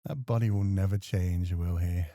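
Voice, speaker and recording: A man's voice: sits low, fairly even intonation, normal speed, no echo, studio quality, natural voice. A man playing a gracious, teasing professor moonlighting as a club host in a flirty ASMR roleplay. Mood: fond, gracious. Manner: teasing, playful, softly courteous. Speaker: voice actor.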